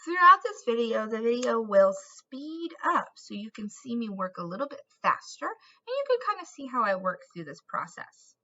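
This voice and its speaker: A woman with a bright, fairly high voice guides children through a craft demonstration. She speaks as an encouraging teacher addressing young viewers directly. The recording is a little dull and lo-fi. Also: very animated, normal speed. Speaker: art teacher presenting a tutorial.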